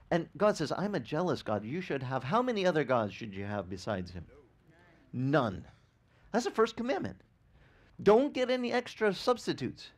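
Man, 40s, Italian first language, beach pastor; very lively pitch, asks the crowd questions. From grew up speaking Italian. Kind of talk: outdoor sermon. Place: sandy beach outdoors.

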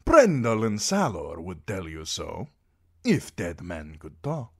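A man voicing a swaggering, flamboyant male sellsword captain, delivering lines with drawn-out theatrical flair. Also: deep voice, huge pitch swings, vocal fry, fairly bright tone, husky, full character voice. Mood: boastful.

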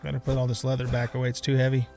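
A man's voice: medium pitch, monotone, very fast, gravelly, darkish tone, faint music behind. A middle-aged man giving casual let's play commentary on a video game, thinking aloud and muttering to himself.

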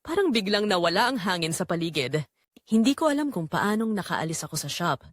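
A woman's voice: mid-range voice, sing-song, fairly quick, no echo, studio quality. A woman narrating a scripted first-person romantic drama in an emotive, confessional manner. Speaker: storyteller narrating in character.

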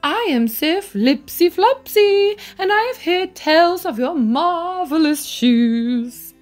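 A woman voicing a flamboyant rich gentleman in a children's storybook, bellowing with theatrical enthusiasm. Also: expressive, takes it slowly, soft background music, full character voice. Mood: effusive, bellowing. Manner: booming, theatrical.

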